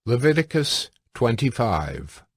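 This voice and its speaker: A man narrating scripture as a solemn, deliberate storyteller in a scripted production. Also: mid-range voice, very animated, breathy edge, no echo, clean studio sound.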